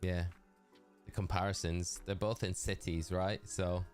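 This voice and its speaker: A man's voice: low-pitched, level tone, unhurried, a little mellow, faint music behind. A man with a Geordie accent chatting casually and rambling on a live game stream, talking to his chat.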